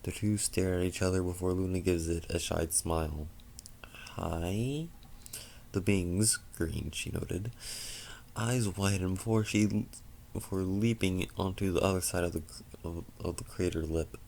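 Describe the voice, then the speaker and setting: A man with a low, creaky voice reads fiction aloud. He sounds tired and halting, often repeating words as he goes. The recording carries a faint noise. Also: fairly even intonation, a little mellow. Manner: halting, stumbling over words. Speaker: narrator reading fan fiction. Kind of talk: amateur story narration with asides.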